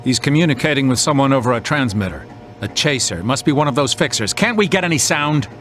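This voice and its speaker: An actor playing a cold, calculating boss in a video game, measured and commanding. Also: male voice, expressive, a bit bright, natural voice. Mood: cool, calculating. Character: cold, calculating male boss in control room. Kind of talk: video game cutscene acting. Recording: rumbling noise behind the voice.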